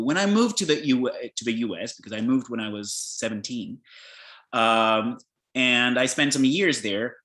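A man from Peru talks things through slowly and thoughtfully, stopping and restarting often. His voice is bright, and the room around him rings with a noticeable echo. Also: medium pitch. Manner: reflective, hesitant with many fillers and restarts. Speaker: podcast guest. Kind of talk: casual conversation.

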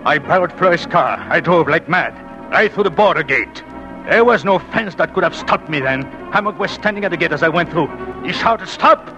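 An actor playing an anguished, devoted immigrant husband in an old radio drama, speaking in a halting, brooding, intense way. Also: male voice, a little high, expressive, highs cut off, over music. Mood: brooding, fearful. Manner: halting, brooding, intense delivery with repeated words. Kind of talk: old dramatic radio-play acting with first-person narration.

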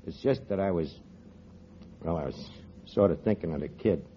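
An actor playing a wisecracking, scheming tavern manager who talks ungrammatically, in a 1940s radio comedy. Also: male voice, sits low, moderate pace, a little hiss or hum, lightly acted. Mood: mock-sad. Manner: wisecracking, fake sincerity, ungrammatical. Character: fast-talking scheming tavern manager, middle-aged man. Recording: dull old radio broadcast, faint audience laughter.

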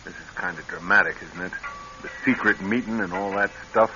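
Radio crime drama ex-con: lowish, forceful, wary and sardonic, swinging intonation. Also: male voice, sing-song, a little fast, creaky, full character voice. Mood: sarcastic. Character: wary, bitter male ex-convict, dryly sarcastic. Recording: muffled old radio show, faint music.

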